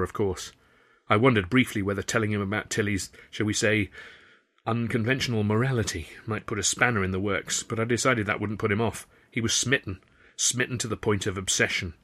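A man narrates a novel in a fairly low voice. He tells it in the first person, with wry, expressive turns of phrase. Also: a little fast. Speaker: author reading his novel aloud.

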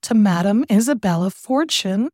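A woman playing a calm, polished telethon cohost. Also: mid-range voice, normal speed, no room sound, clean studio sound, lightly acted. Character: smooth female telethon cohost.

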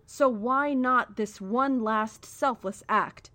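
A woman playing a calm, zealous cult leader in a horror audio drama, speaking in a measured, formal, persuasive way. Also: a little high. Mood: coaxing, zealous.

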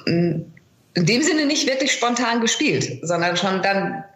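A middle-aged woman speaking candidly and casually as an interview guest, telling things in an animated way. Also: mid-range voice, measured pace. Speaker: interview guest, voice actress and dubbing director. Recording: roomy, echoing sound.

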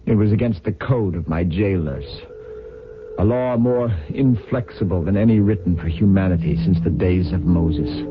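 Actor as a deep-voiced, tormented prisoner. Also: male voice, close to an everyday voice. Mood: bitter despair. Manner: brooding, deliberate, literary delivery. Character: tormented, self-loathing prisoner of inhuman jailers. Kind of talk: 1970s radio drama monologue. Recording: dull old radio broadcast. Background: music.

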